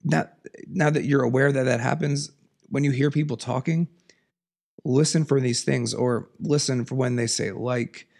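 A man hosting a solo podcast, raspy from a cold, talking in an earnest, emphatic, passionate way. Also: steady tone, moderate pace, darkish tone. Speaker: podcast host. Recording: lower audio quality.

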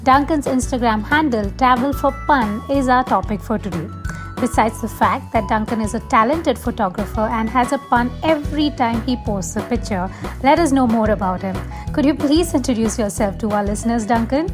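A woman's voice: a little high, normal speed, music in the background. A woman hosting a podcast, asking polite questions that sound scripted. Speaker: podcast host.

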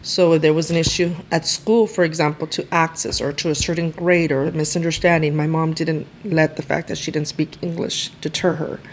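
A woman's voice: sits low, level tone, brisk, hardly any pauses, a little hiss or hum, low-quality recording. A woman from East Los Angeles whose first language was Spanish, a lawyer guest telling her story in an earnest conversational way. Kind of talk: conversational interview.

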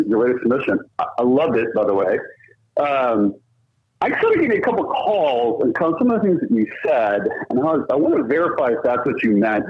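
A man's voice: mid-range voice, husky. A man bantering casually and improvising prank calls as a podcast guest, heard over a lo-fi connection. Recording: dull, lo-fi phone-like connection.

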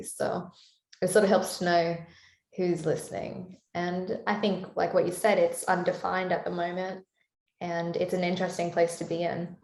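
A woman's voice: on the low side, a little mellow. A woman singer-songwriter with a Canadian-sounding accent, chatting thoughtfully in an interview and laughing lightly as she talks. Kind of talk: casual interview chat. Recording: roomy echo.

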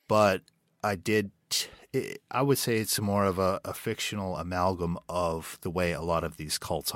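Man, very low voice, interview guest, hesitant with restarts, dry close sound. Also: fairly bright tone, no echo. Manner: thoughtful, searching for words with many restarts and fillers. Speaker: writer and director, interview guest. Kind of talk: interview answers.

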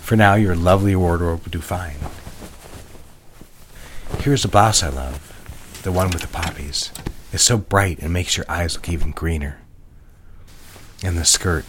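A male actor as a jealous, controlling lover in an audio drama, with a very low voice. He gives orders in a measured, intimate way, over noticeable background noise. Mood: controlling, tender. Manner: measured, directive, intimate murmuring.

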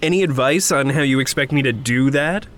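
An actor playing a troubled, self-blaming mage in training, hesitant and polite, in a naturalistic audio drama. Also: male voice, medium pitch, a little fast, fairly bright tone, lightly acted. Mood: dry, willing.

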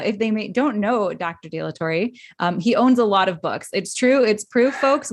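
A woman co-hosting a podcast, introducing her guest in an upbeat, chatty way. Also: medium pitch. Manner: upbeat, chatty, laughs easily. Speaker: podcast co-host.

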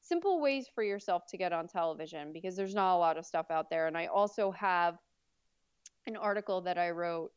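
A woman with a deep voice talks in a relaxed, chatty way, with easy fillers and a light laugh now and then. Her tone is smooth and resonant, and she is recorded dry and close. The sound is a little dull, as on a modest podcast setup. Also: brisk, dry recording. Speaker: PR agency owner, guest. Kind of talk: casual interview.

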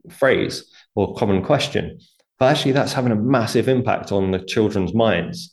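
A man's voice: on the low side, darkish tone. A man, a guest author, explaining money habits earnestly in a conversational interview, with light laughs.

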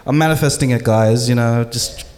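A man's voice: medium pitch, normal speed, a little mellow. A man co-hosting a live podcast on a conference stage, telling stories in a loose, laughing way with lots of filler words. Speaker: podcast co-host. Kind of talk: casual live panel chat.

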